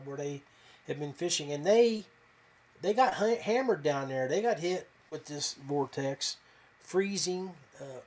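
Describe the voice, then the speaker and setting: A man from West Virginia hosting a fishing podcast in a casual, folksy, halting way. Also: fairly high pitch. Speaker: podcast host.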